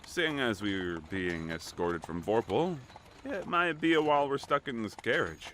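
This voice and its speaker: A man's voice: medium pitch, expressive, normal speed, a bit bright, close to an everyday voice. A man acting a wry, bored traveler and father in a fantasy audio drama. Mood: wry, musing. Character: bored, wry chained-convoy companion, a worried father. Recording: background noise of horse-drawn carriage.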